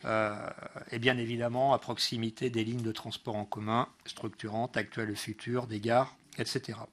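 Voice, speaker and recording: A man giving a political speech as a local councillor, halting often and correcting himself as he goes. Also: mid-range voice, flat delivery, a bit bright. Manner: hesitant delivery, frequent pauses and self-corrections. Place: council meeting room.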